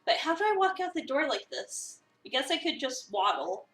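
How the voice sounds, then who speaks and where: A woman reading a visual novel as an eager, awkward new cafe employee, chatty, expressive and self-deprecating. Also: sits high, moderate pace. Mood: eager, flustered. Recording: roomy, a bit far from the mic.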